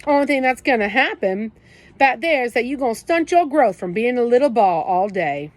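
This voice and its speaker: A tough teenage brother , played by a woman reader, talks down in blunt streetwise slang. He is gruff and scolding, yet protective underneath. The voice sits a little raised, as in a storybook audiobook. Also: fairly high pitch, animated, moderate pace, no room sound, pitched above the performer's own voice, natural voice. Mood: gruff comforting.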